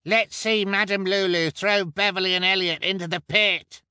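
A man voicing a crass, bloodthirsty, impatient male carnival onlooker in an audiobook, heckling loudly. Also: sits high, measured pace, dry recording, studio quality, pitched above the performer's own voice. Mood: baying.

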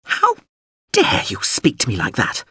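A man's voice: medium pitch, huge pitch swings, a little slow, sharp tone, breathy edge, dry recording, clean studio sound, full character voice. A man voicing a pompous, fussy sentient robot drone that talks in long-winded legal phrasing in an audiobook. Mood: indignant. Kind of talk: audiobook character voice.

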